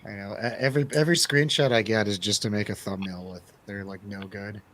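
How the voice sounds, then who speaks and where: A man's voice: lowish voice, fairly even intonation, brisk, fairly bright tone. A man hosting a radio show, chatting casually and jokingly about films in an informal way. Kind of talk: casual film review banter.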